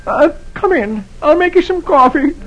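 A man doing a flustered young husband who exclaims in a voice pushed well above his own. His tone rises and falls in lively swings. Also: very high voice, moderate pace, dull audio, loud music behind the voice, pitched above the performer's own voice.

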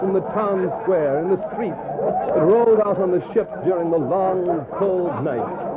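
A man narrating a historical radio drama as a solemn, poetic storyteller. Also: sits high. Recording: phone-like old recording, loud music behind.

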